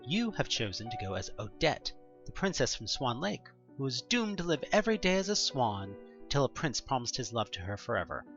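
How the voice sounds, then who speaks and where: Expressive, bright-voiced narrator with swinging pitch. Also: fairly quick, lo-fi sound, soft background music. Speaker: audio play narrator. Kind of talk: dramatic scripted narration of an interactive horror story in second person.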